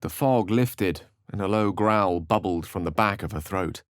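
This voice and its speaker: Low-voiced, eloquent wizard. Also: male voice, measured pace, no echo, clean studio sound. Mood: hushed, ominous. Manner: articulate, erudite, theatrical. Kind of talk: video game character acting and narration.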